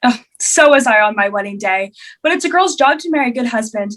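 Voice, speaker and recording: A woman's voice: fairly high pitch, lively intonation, a little fast, fairly bright tone. A woman in a comedic play reading, playing a gracious but nagging mother with a formal, theatrical delivery.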